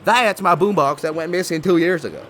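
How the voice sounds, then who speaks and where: A man's voice: on the high side, brisk, fairly bright tone, slight background noise, close to an everyday voice. A man playing an indignant, worried backwoods client with a Southern US accent, plaintive and skeptical, in a comedy horror audio drama.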